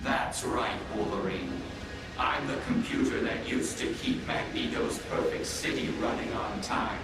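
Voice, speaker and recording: A man's voice: medium pitch, big pitch swings, measured pace. A man playing a sentient supercomputer villain in a comic-book drama, speaking formally and condescendingly in grandiose monologue. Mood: grandiose, lecturing. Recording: heavily echoing, distant, loud music behind.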